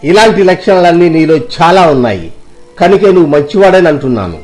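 A kindly older village uncle, acted by a man in an audio moral story. His voice sits fairly high for a male. He counsels with soothing, fatherly earnestness over faint music. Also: expressive, fairly quick, darkish tone, lightly acted. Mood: earnest reassurance.

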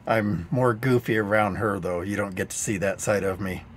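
A man in his sixties hosting a live DIY training stream, going a bit hoarse, explaining tricks in a dry-humored, rambling way on a headset mic indoors. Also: lowish voice, moderate pace. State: getting hoarse. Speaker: DIY channel host. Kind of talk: live-stream teaching chat. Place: indoor studio set.

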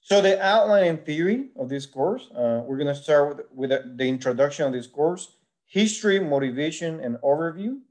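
A man's voice: fairly high pitch, expressive, a little mellow. Male engineering lecturer. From Puerto Rico. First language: Spanish. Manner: measured explanatory delivery with frequent "uh" fillers. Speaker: university instructor. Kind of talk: recorded course lecture.

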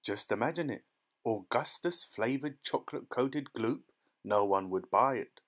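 A man's voice: mid-range voice, expressive, moderate pace, fairly bright tone. A man voicing an eccentric, gleeful chocolate maker in a children's storybook, breezy, emphatic and theatrically reassuring. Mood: amused.

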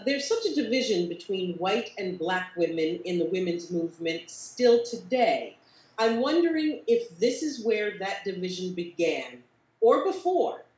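A middle-aged woman moderates an online discussion in a mid-pitched voice. She is on a video call from a room with clear echo. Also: a little mellow. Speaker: panel moderator. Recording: roomy, echoey video-call sound.

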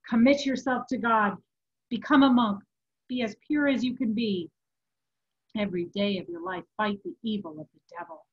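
A woman's voice: a little high, moderate pace, slightly rough, muffled recording. A woman voicing a tormented dead friend in a storybook, urgently exhorting and warning.

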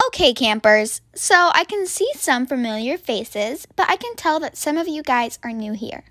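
A woman's voice: high-pitched, expressive, moderate pace, fairly bright tone, no echo, studio quality. A woman voicing a bossy, falsely sweet camp counselor with murderous schemes in a roleplay story.

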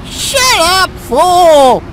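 A high-pitched, very slow-talking weasel crook, bossy and irritable. It is exaggerated video game cartoon acting, with faint street noise behind. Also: female voice, big pitch swings, heavily acted. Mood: indignant, irritated.

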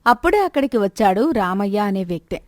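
A woman's voice: mid-range voice, sing-song, fast talker, no echo, clean studio sound. A woman narrating a scripted moral story.

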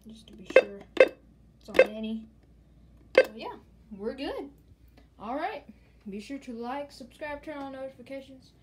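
A woman hosting an informal show-and-tell vlog, talking haltingly into a handheld device in a small room at home. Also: high-pitched, lively intonation. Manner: informal, halting, repeats stock phrases. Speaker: host of a gadget collection video channel. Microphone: handheld phone or camera, held close. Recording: noisy handheld home recording with clicks.

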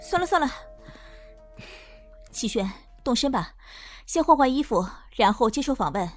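A woman plays a sharp-tongued female agent in a radio drama, protective and scornful. Her voice is high, with faint music behind it. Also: big pitch swings, normal speed. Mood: concerned, annoyed. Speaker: voice actor. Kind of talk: amateur Chinese radio drama acting.

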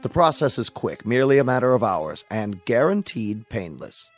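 Actor as a polished salesman, animated pitch. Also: normal speed, faint music behind, lightly acted. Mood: persuasive. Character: smooth, courteous, persuasive corporate salesman. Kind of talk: radio drama acting.

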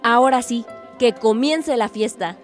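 An actress as an enthusiastic young daughter. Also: female voice, fairly high pitch, unhurried, faint music behind, natural voice. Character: enthusiastic young daughter full of activist ideas. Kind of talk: radio soap opera acting.

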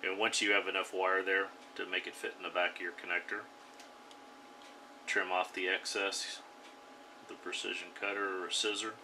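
A man hosting a radio hobby channel, calmly talking viewers through a hands-on build step by step. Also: sits low, normal speed, long pauses, slight background noise. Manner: plain, instructive, step-by-step. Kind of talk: DIY tutorial voice-over.

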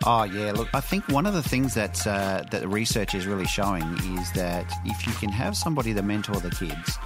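A man's voice: lowish voice, expressive, brisk, over loud music. An Australian man speaking casually as a podcast host in an interview chat.

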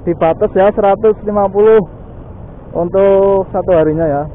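A man talks casually as he rides a motorbike, scattering little fillers through every sentence. His voice sits high and sounds thin and phone-like. Faint traffic hums underneath. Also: normal speed. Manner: chatty, lots of "ya" and "guys" fillers. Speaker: motovlog host. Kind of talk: casual chat while riding. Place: riding a motorbike on city streets outdoors.

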